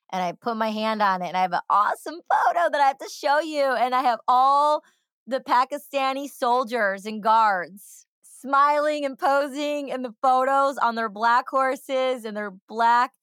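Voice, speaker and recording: Bright, crisp-voiced enthusiastic woman host. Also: medium pitch, no room sound. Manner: enthusiastic, emphatic, rambling. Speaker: podcast host. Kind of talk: casual interview chat.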